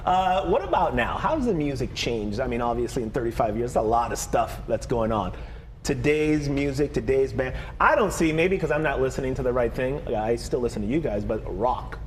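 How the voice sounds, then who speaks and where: A man's voice: medium pitch, animated, brisk, slight background noise. A man hosting a casual, bantering TV interview in an informal, slangy, jokey way. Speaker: TV host interviewing guests.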